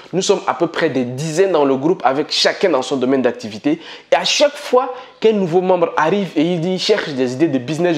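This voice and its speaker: A male entrepreneur giving an emphatic motivational business talk to camera, full of repetition and rhetorical questions to viewers. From Africa. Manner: swings between high emphatic bursts and low asides. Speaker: entrepreneur and channel host.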